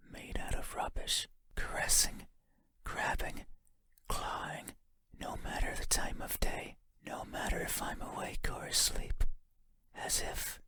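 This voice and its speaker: An actor playing a creepy, sorrowful giant creature in a horror game, whispering slowly and intimately in a breathy, musing way. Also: male voice, sharp tone. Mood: eerie, longing. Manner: slow, intimate, deliberate, musing.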